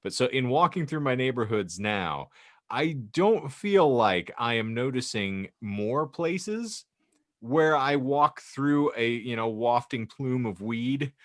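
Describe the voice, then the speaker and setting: A man's voice: mid-range voice, a bit bright, dry recording, studio quality. A man bantering on a podcast in a wry, wordy way, using mock-formal phrasing. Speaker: podcast co-host.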